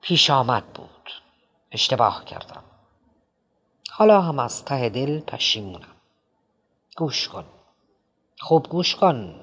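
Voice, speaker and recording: A man reading an audiobook, voicing a rattled, eccentric middle-aged man who pleads and mocks in a jittery, high-strung way. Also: big pitch swings, pauses often, a bit bright, husky. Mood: pleading. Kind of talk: audiobook character voice.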